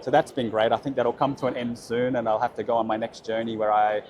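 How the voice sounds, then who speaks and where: A man's voice: medium pitch, steady tone, fast, a little mellow, a little hiss or hum. A young Australian man, an expat, chatting in a casual interview with upbeat affirmations and frequent fillers. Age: young adult.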